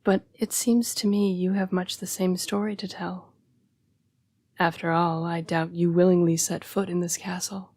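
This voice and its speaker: A woman playing a young-seeming, formal and apologetic female vampire in an intimate audio roleplay, breathy and often dropping to a whisper. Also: fairly low pitch, little pitch movement, a little mellow, dry recording, close to an everyday voice. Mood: regretful. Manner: formal, polite, measured phrasing. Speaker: vampire council's correspondence assistant.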